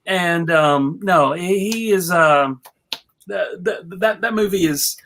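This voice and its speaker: A woman on a panel chatting casually about films, halting and often searching for words. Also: fairly low pitch, fairly bright tone.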